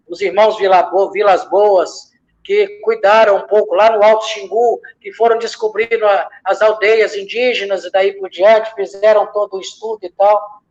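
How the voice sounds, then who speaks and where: A man from from that region, a lay missionary reflecting in a church discussion, building his points from parallel examples and often hesitating. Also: high-pitched. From Minas Gerais, Brazil. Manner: speaks in repeated parallel examples, many hesitations. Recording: dull lo-fi sound.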